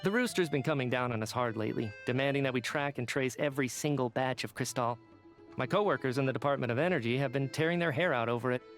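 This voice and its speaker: A man playing an earnest, chatty young government researcher in a video game, oblivious and expressive. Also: mid-range voice, fast talker, soft background music, close to an everyday voice. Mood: musing.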